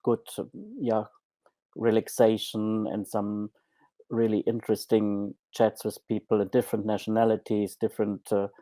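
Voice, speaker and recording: A man's voice: on the low side, warm tone, dry recording. A middle-aged German man with a German accent, a podcast guest speaking hesitantly with many ums and restarts. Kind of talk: podcast interview.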